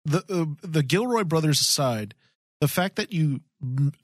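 A man with a fairly high voice and very lively intonation co-hosts a casual fan podcast. He is emphatic, repeating phrases to stress his point, in a dry recording. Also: measured pace, no echo. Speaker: podcast co-host.

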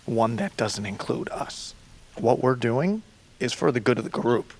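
An actress playing a cautious, tense companion with a gravelly voice and blunt, urgent manner in an audio drama. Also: female voice, rumbling low, very animated, a little slow, exaggerated voice. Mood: uneasy. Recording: loud ambient noise behind voice.

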